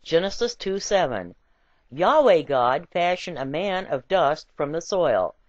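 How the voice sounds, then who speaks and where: A woman reading a scripted essay with quotations in a deliberate, emphatic and wry storytelling way. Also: low-pitched, animated, dry recording, muffled recording. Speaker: reader.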